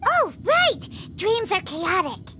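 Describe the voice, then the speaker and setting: A woman voicing a tiny, excitable, childlike female fairy companion in an anime game, easily flustered and exclamatory. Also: very high-pitched, slow, a little hiss or hum, exaggerated voice. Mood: eager. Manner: speaks of self in third person, exclamatory. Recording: game music behind the voice.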